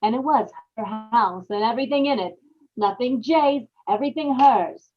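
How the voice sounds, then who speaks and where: A tormented young wife, acted by a woman with a fairly high voice, bitter and anguished. She confesses in melodramatic old-time radio horror style. The sound is a little dull and lo-fi. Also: animated, normal speed, soft background music. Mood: bitter, resentful. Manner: dramatic, anguished monologue.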